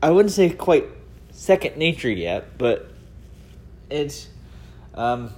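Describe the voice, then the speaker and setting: A man's voice: a little high, very animated, takes it slowly, darkish tone. An American man hosting a casual personal audio diary, talking in a chatty, rambling way. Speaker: podcast host. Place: indoors at home, room with laminate floor.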